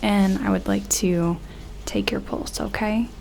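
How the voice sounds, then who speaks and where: Slow, soft-spoken whispery ASMR woman. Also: medium pitch, steady tone, creaky, slight background noise. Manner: gentle, hesitant, reassuring clinician talk. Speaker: ASMR performer playing a doctor examining an alien. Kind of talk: ASMR medical roleplay.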